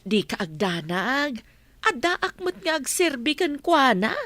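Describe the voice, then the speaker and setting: A woman's voice: high voice, very animated, measured pace, a bit bright, no echo, exaggerated voice. A woman playing a caring, protective older family maid in an Ilocano radio drama, pleading and admonishing. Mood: concerned. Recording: dry studio, music underneath.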